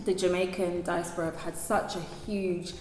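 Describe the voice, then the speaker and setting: A singer being interviewed, a woman with a deep speaking voice who talks thoughtfully with many fillers and restarts. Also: level tone, normal speed, darkish tone, roomy sound, big-room sound. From London. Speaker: singer, guest. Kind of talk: casual interview talk.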